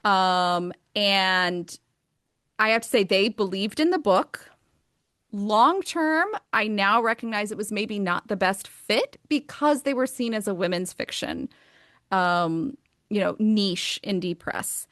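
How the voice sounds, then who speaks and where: A woman's voice: medium pitch, expressive, slow, fairly bright tone, no echo, clean studio sound. Chatty, enthusiastic American woman author. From United States. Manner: enthusiastic, chatty, many fillers like um and like. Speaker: author and guest. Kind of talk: casual interview conversation.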